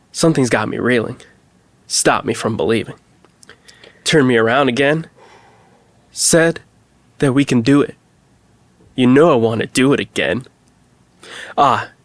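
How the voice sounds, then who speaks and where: An earnest, theatrical reciter played by a man reads heartbroken pop lyrics dramatically for a comedy podcast. The voice sits at a medium pitch in a dry recording. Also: dry recording, close to an everyday voice. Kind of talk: mock dramatic reading.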